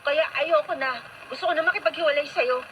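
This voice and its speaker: High-pitched, fed-up young girlfriend. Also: fast, a bit bright. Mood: exasperated. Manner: scolding, exasperated. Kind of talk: radio drama acting. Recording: noisy radio drama with clear background noise.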